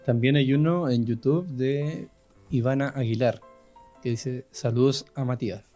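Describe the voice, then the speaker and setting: Mellow Chilean man co-hosting a podcast. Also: medium pitch, fairly even intonation, measured pace, faint music behind. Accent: Chilean Spanish. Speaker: podcast co-host. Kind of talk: casual chat, reading listener comments.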